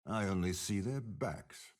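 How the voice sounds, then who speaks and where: An actor playing a cold, cunning old warlord general in a video game, gravelly and commanding, with measured, disdainful delivery. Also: male voice, lowish voice, close to an everyday voice. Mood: commanding, stern. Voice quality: gravelly, husky. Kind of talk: dramatic game character voice lines.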